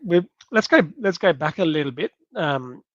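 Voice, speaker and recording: A podcast host in a casual interview, asking halting, conversational questions. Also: mid-range voice, lively intonation, dry recording, muffled recording. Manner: halting questions, frequent short acknowledgements.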